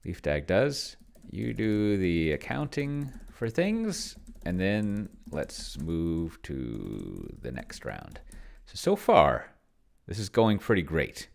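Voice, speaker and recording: A man with a very low voice that swings widely in pitch and often crackles with fry. He talks through his solo tabletop game in a casual, think-aloud way. He mutters to himself and stretches out words as he types. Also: wildly swooping pitch. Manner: muttering to himself, slowing as he dictates while typing. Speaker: solo tabletop gamer and video host. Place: indoors at a computer, keyboard clicks behind.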